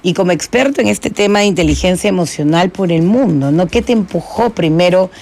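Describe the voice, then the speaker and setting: A woman's voice: fairly low pitch, brisk, creaky, a little mellow. Effusive Peruvian woman hosting radio. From Peru. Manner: effusive, affectionate. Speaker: radio host. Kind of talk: live radio chat and interview.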